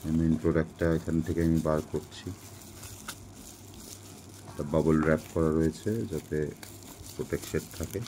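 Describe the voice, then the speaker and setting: A man's voice: extremely low pitch, completely flat delivery, moderate pace, long pauses, mellow, slight background noise, low-quality recording. A man casually narrating an unboxing in an explanatory way, mixing in English phrases. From India. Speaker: product unboxing presenter.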